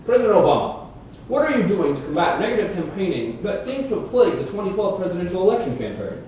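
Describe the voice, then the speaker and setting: A man's voice: sing-song, quick pace, darkish tone. A man playing a formal debate moderator and commentator in a scripted puppet show. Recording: echoey room, distant mic, rumbling noise.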